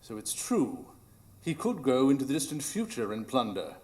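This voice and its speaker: Detective, male actor, probing and resolute, lively intonation, live radio play. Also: medium pitch, moderate pace. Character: Sherlock Holmes, inquisitive, reasoning, determined detective. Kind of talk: live radio drama acting. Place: improv theatre stage, live audience.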